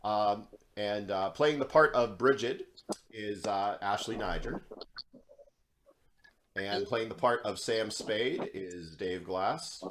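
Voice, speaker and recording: A man's voice: fairly low pitch, takes it slowly. A man informally introducing performers as presenter on a video call. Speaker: coordinator and presenter. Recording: noisy video call with clicks.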